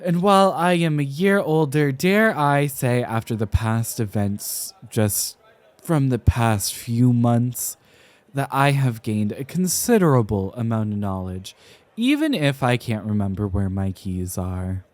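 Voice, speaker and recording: A man performs as a dramatic young male scholar in an intimate ASMR roleplay, tipsy and easily flustered. He speaks slowly and with careful, theatrical enunciation, at a middling pitch. The sound is dry and close to the microphone. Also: expressive, dry recording, close to an everyday voice. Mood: grudging, resigned. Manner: theatrical, enunciated, halting with sighs and flourishes. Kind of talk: ASMR roleplay, acted one-sided conversation.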